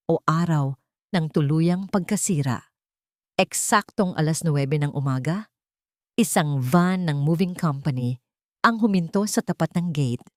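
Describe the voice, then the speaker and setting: Female storyteller, low register, dry close sound, scripted drama narration. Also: a little mellow, no room sound.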